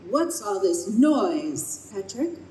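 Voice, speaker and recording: A woman's voice: medium pitch, sing-song, slow pace, a little mellow. A woman playing a composed, knowing spirit of heaven in an old-time radio play. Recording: echoey noisy live stage.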